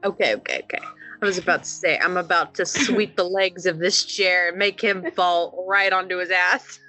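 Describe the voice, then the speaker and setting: A woman's voice: fairly low pitch, steady tone, normal speed, pushed and bright, low-quality recording. A woman playing a tabletop roleplaying game, chatting casually and improvising narration as a player. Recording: music behind the voice.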